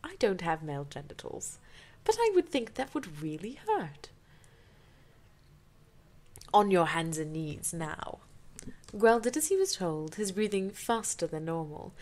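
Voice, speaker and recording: A woman's voice: on the low side, very animated, a little slow, a little mellow. A woman doing an amateur dramatic reading of fanfiction, theatrical, with incredulous, sarcastic asides.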